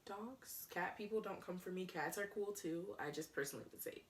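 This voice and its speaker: Young woman vlogger, low voice, chatty and giggly, a bit far from the mic. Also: a little fast, a little hiss or hum. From Rochester, New York, USA. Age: 20s. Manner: chatty, rambling, giggly, lots of ums and likes. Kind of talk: casual get-to-know-me chat.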